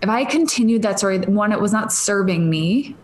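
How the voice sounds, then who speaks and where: A woman's voice: mid-range voice, expressive, fairly quick, a little hiss or hum. A woman in her thirties from Minnesota, with a Minnesotan accent, hosting a solo podcast in a rambling, stream-of-consciousness way with laughing asides. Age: 30s. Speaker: podcast host. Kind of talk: casual solo podcast monologue.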